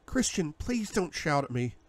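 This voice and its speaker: A man voicing a frightened, apologetic young woman who speaks softly and pleadingly in an audiobook. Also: on the high side, big pitch swings, a little slow, pitched above the performer's own voice. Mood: hushed, pleading. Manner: soft, hushed, pleading.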